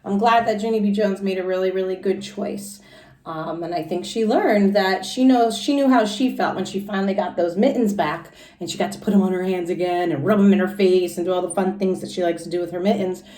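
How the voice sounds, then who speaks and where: A woman's voice: mid-range voice, a little fast, dark tone. A woman teacher reading a children's book aloud and commenting on it for young listeners. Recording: echoey room, distant from mic.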